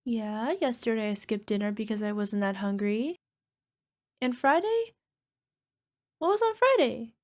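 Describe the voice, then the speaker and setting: A woman's voice: fairly high pitch, animated, unhurried, long pauses. A woman playing a small, sheepish cyclops monster girlfriend in an ASMR roleplay, wheedling and making cutesy, defensive excuses. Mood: evasive, sheepish. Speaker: voice actor.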